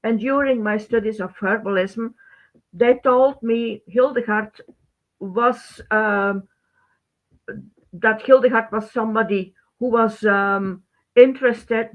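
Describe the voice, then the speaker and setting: A middle-aged woman from Belgium, a native Dutch speaker with a Belgian Dutch accent, presenting a webinar informally in halting, non-native phrasing. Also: mid-range voice. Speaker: webinar host, teacher. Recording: thin phone-like band-limited webinar audio.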